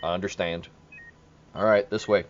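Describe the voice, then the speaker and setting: A man playing a plainspoken small-town police officer in an audio horror drama, speaking in a measured way. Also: deep voice, fairly even intonation, close to an everyday voice. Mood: gentle, concerned. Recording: dull lo-fi audio, faint noise.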